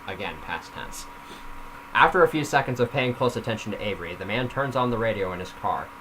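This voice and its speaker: A man's voice: lowish voice, lively intonation, a little fast, bright, forceful tone, slight background noise, rough recording. A man reading a story aloud casually, with informal self-critical asides off the script. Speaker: reader of their own story.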